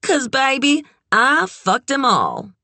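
A woman's voice: high-pitched, wildly swooping pitch, slow pace, pushed and bright, dry recording, voice pushed up, exaggerated voice. A woman voicing a brassy, worldly, amused Texan woman in an audiobook, sugary and frank, full of teasing endearments. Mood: bawdy, coaxing. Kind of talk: audiobook character dialogue.

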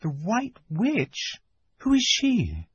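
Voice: mid-range voice, wildly swooping pitch, very slow, fairly bright tone, slightly rough, no echo, highs cut off, pitched above the performer's own voice, full character voice. A reader doing an earnest, pleading little girl. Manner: pleading, distressed. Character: kind, earnest young girl. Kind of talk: audiobook character voice.

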